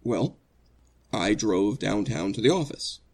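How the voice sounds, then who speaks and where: A man playing an earnest, anxious condemned prisoner in a 1940s radio drama, speaking a little haltingly. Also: lowish voice, expressive, breathy edge, heavily acted. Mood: earnest, troubled.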